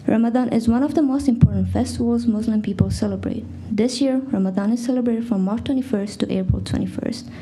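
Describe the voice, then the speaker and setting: A teenage girl, a Farsi native speaker, reading a prepared statement at a school board meeting with careful, steady delivery. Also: on the high side, gravelly, breathy edge, rough recording. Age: teens. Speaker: student speaker.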